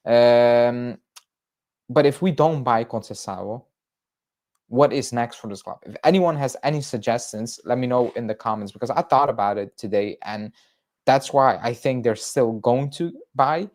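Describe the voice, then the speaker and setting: A man's voice: medium pitch, moderate pace, a little mellow. A young man from Belgium hosting a casual fan livestream, rambling through viewer chat with fillers and restarts. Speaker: football fan channel host.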